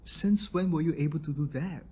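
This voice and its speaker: A man playing a grumbling but soft-hearted robotics engineer in a scripted audio drama. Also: fairly high pitch, moderate pace, slight background noise, natural voice. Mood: startled, flustered. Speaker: voice actor in an audio roleplay.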